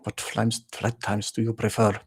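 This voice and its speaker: A man's voice: lowish voice, monotone, moderate pace, breathy edge. A man from Germany with a German accent, hosting a casual live Q&A for viewers. He hesitates and searches for words, then drops short, blunt exclamations. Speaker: livestream host answering viewer questions.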